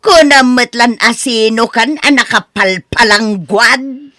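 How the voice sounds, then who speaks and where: A woman's voice: on the high side, takes it slowly, bright, forceful tone, no room sound, studio quality. A woman with an Ilocano accent playing a nagging, exasperated wife reproaching her gambler husband in a radio drama. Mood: bitter nagging.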